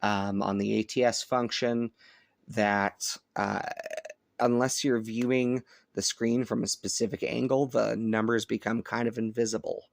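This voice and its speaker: A man giving a casual hands-on product review, thinking aloud in a halting way. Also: lowish voice, level tone, creaky, no room sound, studio quality. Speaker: host of a shortwave radio review channel. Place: indoors at home, upstairs room.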